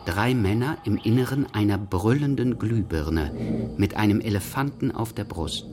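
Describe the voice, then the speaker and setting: A terse male observer voiced by an actor paints vivid scenes in poetic radio drama narration. His voice is fairly low, and loud plucked guitar music runs beneath it. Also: expressive, normal speed, natural voice.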